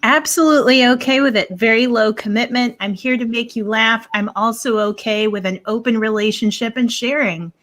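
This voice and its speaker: A woman making a playful, deadpan pitch as a dating game contestant. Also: normal speed, few pauses.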